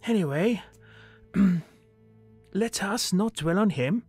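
An actor playing a fond, chatty Victorian husband in a conversational way. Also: male voice, high voice, expressive, very slow, darkish tone, breathy edge, soft background music, heavily acted. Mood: cheerful.

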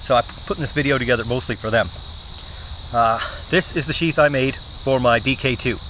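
Young man, outdoor woods, camera mic, relaxed plain talk, lots of fillers, mid-range voice, insects buzzing behind. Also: mid-range voice, fairly quick, background noise. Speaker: bushcraft channel host. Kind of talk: casual show-and-tell vlog. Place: woods outdoors, seated on the ground. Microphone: camera mic at close range. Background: crickets and insects.

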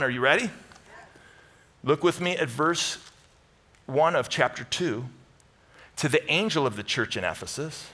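A man's voice: medium pitch, pushed and bright. A middle-aged American man preaching to a congregation as pastor, teaching in punchy, conversational short phrases with repeated emphasis. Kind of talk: sermon to a live congregation with Bible teaching. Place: church stage, indoors.

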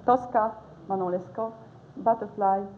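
A middle-aged woman, an opera singer, answering interview questions thoughtfully with pauses, in an ornate palace room. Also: mid-range voice. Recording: tinny lo-fi 1970s TV sound with faint hiss.

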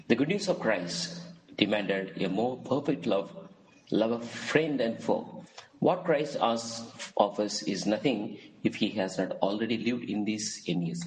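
A man reading a gospel passage and then preaching a homily, earnest and measured, posing rhetorical questions. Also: fairly low pitch, poor audio.

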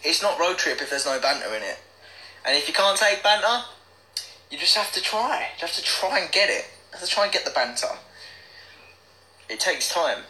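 A man's voice: fairly high pitch, big pitch swings, moderate pace, long pauses, sharp tone, rough recording. A young man chatting casually on a livestream, reading out comments in a playful, silly manner. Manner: playful, silly, breaks into bits of song. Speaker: livestream host.

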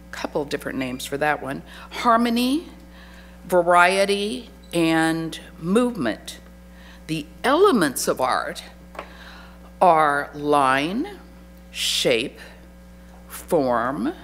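A middle-aged woman giving an art lecture at a podium microphone, chatty and self-deprecating, with a faint hum behind her. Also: deep voice. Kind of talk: lecture to an adult audience. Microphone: podium microphone on a stand.

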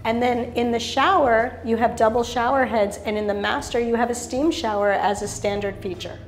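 A woman's voice: fairly high pitch, fairly even intonation, moderate pace. A middle-aged woman giving an enthusiastic, polished sales tour of a show apartment, listing features one after another. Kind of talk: polished real estate showcase tour. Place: show apartment kitchen, indoors.

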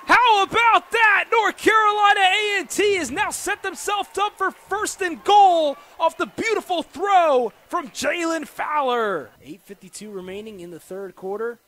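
An American man calling live football play-by-play on the radio with excitable, dramatic delivery. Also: very high-pitched, measured pace, few pauses. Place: football stadium during a live game. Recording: noisy live stadium broadcast.

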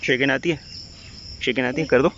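A man's voice: mid-range voice, steady tone, fast talker. A man, a father casually chatting and playfully interviewing his child in a teasing, mock-formal way. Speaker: father filming a family vlog. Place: outdoors in a park. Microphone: handheld phone, behind the camera. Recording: dull phone audio, clear outdoor park noise.

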